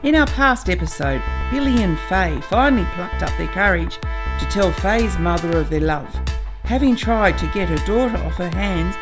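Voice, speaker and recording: A woman's voice: fairly low pitch, animated, moderate pace, close to an everyday voice. A woman playing a formal radio soap announcer, reading a melodramatic serial recap. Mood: solemn, melodramatic. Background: guitar music.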